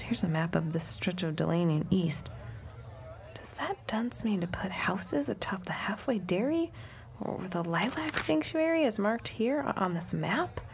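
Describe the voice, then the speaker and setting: A woman's voice: lowish voice, gravelly, slight background noise. An actress playing a plucky, nosy woman spy in a 1916 period audio drama, talking in old-fashioned slang.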